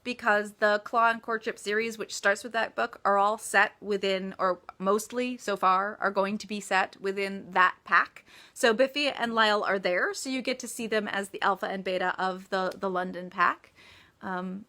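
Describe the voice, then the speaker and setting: Female author for casual live Q&A: bright, forward voice at medium pitch, chatty and emphatic. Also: fairly even intonation, moderate pace. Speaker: author answering reader questions. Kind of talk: casual livestream Q&A chat.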